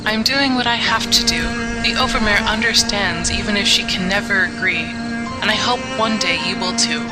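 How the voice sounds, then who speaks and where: A woman voicing an earnest, sorrowful but resolute female pony in an audiobook, speaking softly and solemnly in a measured way. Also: mid-range voice, fairly even intonation, loud music behind the voice, close to an everyday voice. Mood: solemn pleading. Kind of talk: audiobook character acting.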